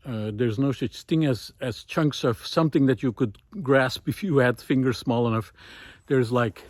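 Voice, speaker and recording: A man giving a casual, unscripted monologue outdoors on a woodland trail, musing and halting as he thinks aloud. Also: medium pitch, a little mellow, no room sound, studio quality. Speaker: host of a recorded monologue series.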